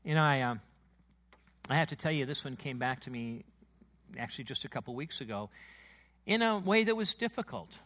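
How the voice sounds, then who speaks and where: Booming, excitable man preaching a sermon. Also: brisk, pauses often. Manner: booming, emotional, excitable delivery. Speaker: pastor. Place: church sanctuary.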